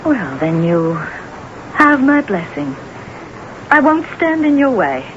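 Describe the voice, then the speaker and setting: A remorseful, earnest wife in a radio play, halting over her words. Her voice swings expressively in pitch, on a dull old recording with faint noise. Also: sing-song. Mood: resigned, self-effacing. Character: remorseful wife who believes she has the evil eye. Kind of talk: radio drama acting.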